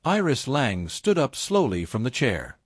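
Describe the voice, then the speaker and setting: A man's voice: mid-range voice, huge pitch swings, unhurried, breathy edge, dry recording. Man narrating dramatic fiction, very dry close sound. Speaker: audiobook narrator.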